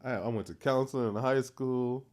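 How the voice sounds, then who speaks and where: A man in his thirties with a Boston accent, co-hosting a podcast and telling stories in a playful, animated way. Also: mid-range voice, normal speed. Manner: playful, animated storytelling, teasing. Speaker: podcast co-host. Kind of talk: casual chat.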